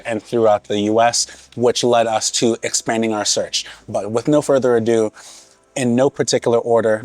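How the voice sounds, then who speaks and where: A man's voice: on the low side, a little mellow. Earnest man vlogging casually. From Haiti, raised in Massachusetts. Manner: earnest, conversational, unhurried. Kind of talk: casual reflective talk to camera.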